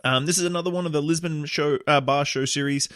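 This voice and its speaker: A man's voice: on the high side, fairly even intonation, fast, fairly bright tone. A man hosting a podcast, talking casually with lots of fillers and enthusiastic restarts. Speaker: podcast host. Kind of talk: casual podcast intro and interview. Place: small bar.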